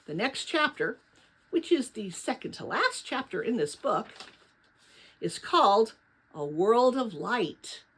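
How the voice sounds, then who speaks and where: A woman narrating a children's novel aloud in an expressive storybook style. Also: fairly high pitch, slow pace, breathy edge.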